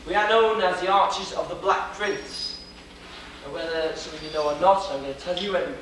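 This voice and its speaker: A man's voice: sits high, fairly quick. A young man from Wales with a Welsh accent, giving a theatrical, joking history lecture to an audience. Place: lecture hall with projection screen. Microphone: far camera. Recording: echoey hall, distant camera, noisy old video.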